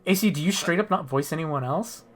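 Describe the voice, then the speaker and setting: A woman's voice: low voice, expressive, brisk, music in the background. A woman in casual chat with friends over a video game, blunt and sweary in manner.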